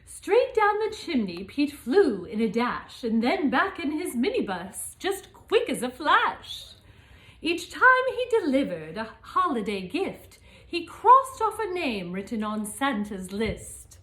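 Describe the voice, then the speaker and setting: A woman reads a children's picture book as Mrs Claus. Her voice is high and very expressive, and she takes her time, speaking chattily to little listeners. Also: takes it slowly. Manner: chatty asides to young children, chuckling. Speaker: storyteller presenting as Mrs Claus.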